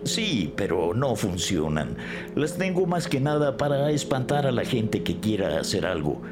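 A man's voice: on the low side, sing-song, unhurried, background noise. A man playing an upset, guarded middle-aged shop owner in an audio drama, speaking in an aggrieved, emphatic way. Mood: resigned, worried. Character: upset, guarded adult male shop owner whose store was vandalized.

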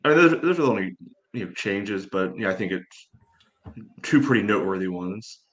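A man co-hosting a casual sports analysis chat in a halting way, leaning heavily on "you know". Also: lowish voice, lively intonation, fairly bright tone. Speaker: podcast co-host and game analyst.

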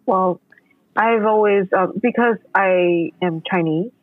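Podcast guest: American male, higher-pitched voice, agreeable and explanatory, dry phone-quality audio. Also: slow, no echo. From New York City, United States. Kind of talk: casual interview conversation.

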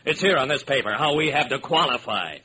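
An actor playing an exasperated heir who must speak only in emphatic, theatrical rhyme, in an old radio drama. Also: male voice, mid-range voice, lively intonation, a little fast, natural voice. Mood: urgent. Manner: theatrical, emphatic rhyming delivery. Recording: noisy vintage radio recording.

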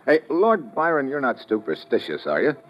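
An actor playing a tough, watchful adventurer in an old-time radio drama, with an American accent and wry, clipped, commanding speech. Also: male voice, mid-range voice, huge pitch swings, moderate pace. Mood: wry, insistent. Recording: muffled, noisy old radio recording.

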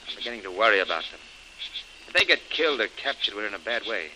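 A resolute leader of men in a vintage radio adventure. He talks very fast in a lowish, sharp voice, urgent and commanding. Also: very animated, heavily acted. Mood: worried, resolute. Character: decisive male planter leading an escape. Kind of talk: old-time radio adventure serial acting. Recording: noisy vintage radio recording.

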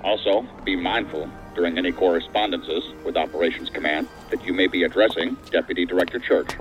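A man's voice: deep voice, steady tone, brisk, dull audio, soft background music, close to an everyday voice. A man playing an unflappable, dryly defiant assistant director in a science fiction audio drama, formal and authoritative. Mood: brisk, firm.

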